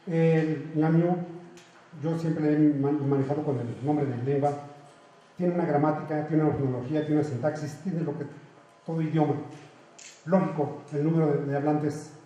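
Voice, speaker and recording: Mexican man, native Otomi speaker, teacher; earnest explainer, self-correcting, slightly high voice. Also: steady tone, normal speed, mellow, heavy reverb, low-quality recording. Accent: Mexican Spanish. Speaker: university language teacher. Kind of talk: reading aloud then explaining.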